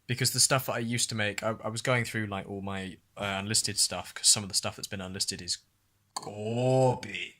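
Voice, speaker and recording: A man's voice: on the low side, moderate pace, bright, forceful tone. Casting: British male vlogger, early twenties, casual, self-deprecating, rambles as he reflects. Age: early 20s. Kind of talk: casual personal vlog and reflective narration.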